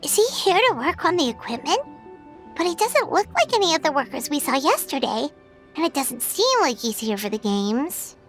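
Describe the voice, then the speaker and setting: A woman voicing a tiny, curious floating fairy girl with a squeaky, childlike voice in a video game. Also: big pitch swings, normal speed, soft background music. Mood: suspicious. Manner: speaks of herself in third person, exclaiming. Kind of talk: animated video game dialogue.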